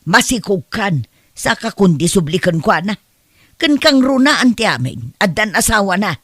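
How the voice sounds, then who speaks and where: A woman's voice: mid-range voice, sing-song, normal speed, fairly bright tone, dry recording, clean studio sound. A woman playing a blunt, scolding middle-aged mother with an Ilocano accent in an audio drama. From Philippines. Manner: exclamatory, chiding. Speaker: voice actor in comedy drama.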